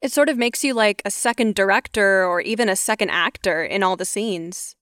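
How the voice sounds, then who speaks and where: A woman interviewing a guest on a podcast, asking measured, thoughtful questions. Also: mid-range voice, fairly bright tone, dry recording, studio quality.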